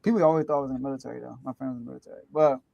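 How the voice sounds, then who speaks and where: A man's voice: medium pitch, fairly even intonation, very fast, vocal fry, a little mellow, no echo, highs cut off. A man hosting a casual sports talk show, rambling through his picks with frequent restarts and laughs.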